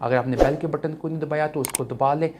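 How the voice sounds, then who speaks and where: A man's voice: fairly high pitch, fairly even intonation, fast talker. A man with a Pakistani accent hosting news commentary, explaining things to viewers in a repetitive way. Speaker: news commentary channel host. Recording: clear background noise, ambient sound.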